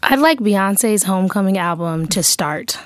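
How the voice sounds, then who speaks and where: A woman's voice: mid-range voice, lively intonation, measured pace, dry recording, clean studio sound. A woman guest chatting casually and jokingly on a panel.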